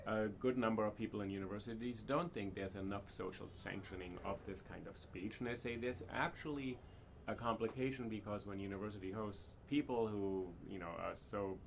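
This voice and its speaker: A man's voice: on the low side, a little fast, gravelly. A man hosting a podcast interview, asking probing questions and thinking aloud. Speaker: podcast host. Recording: faint background noise.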